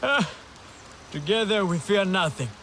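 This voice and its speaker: An actor playing a proud young alien warrior in a video game, speaking in stoic, declamatory lines. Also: male voice, sits high, animated, a little slow, fairly bright tone, a little hiss or hum, full character voice. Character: bold, proud young male alien sky rider. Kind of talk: video game character acting.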